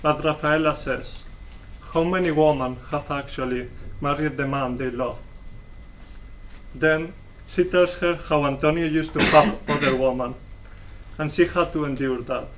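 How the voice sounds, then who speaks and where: A young man with a fairly high voice reads scripted narration for a play. He is picked up from a little way off, with light background noise. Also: a little slow. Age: young adult. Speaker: student narrator. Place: live stage performance room. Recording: distant mic, faint room noise.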